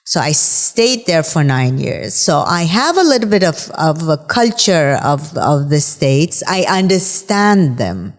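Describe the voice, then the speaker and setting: A woman from Bahrain whose first language is Farsi, speaking as an interview guest in a reflective, halting way with many fillers. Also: sits low, big pitch swings, in a large hall. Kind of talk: conversational interview.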